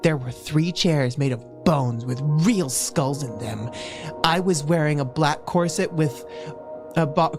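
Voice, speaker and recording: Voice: mid-range voice, a little slow. A livestream host doing a comedic dramatic reading aloud, stumbling over words in a giggly way. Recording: music behind the voice. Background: music.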